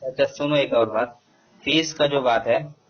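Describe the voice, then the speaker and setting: A man's voice: medium pitch, unhurried, breathy edge. A man speaking as a coach in an argumentative, dismissive phone conversation, with a dull, lo-fi sound. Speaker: academy coach on a phone call. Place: phone call. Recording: muffled lo-fi phone call recording.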